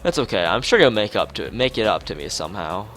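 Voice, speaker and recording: A man's voice: fairly low pitch, big pitch swings, fast talker, fairly bright tone. A man voicing a cheerful, chatty teenage girl runner from a visual novel, upbeat and eager, over music.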